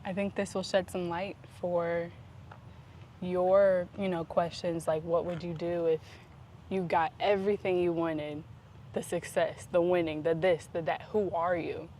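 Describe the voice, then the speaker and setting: A woman talking in a casual, reflective vlog style, earnest and introspective, with deliberate pauses. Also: fairly low pitch. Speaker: vlog host. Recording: clear steady background noise.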